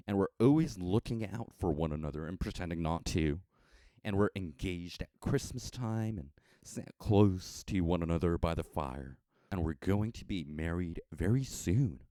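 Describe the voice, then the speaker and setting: An American man narrating a story from a script in a measured, expressive storytelling manner with dramatic emphasis. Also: low voice, no room sound. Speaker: audiobook narrator.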